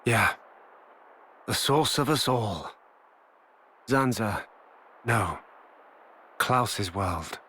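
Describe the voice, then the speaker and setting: An actor playing a grave, knowledgeable veteran hero in a video game cutscene, speaking deliberately. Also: male voice, lowish voice, animated, pushed and bright, husky, heavily acted. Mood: solemn. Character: solemn, knowledgeable veteran male hero explaining grave things.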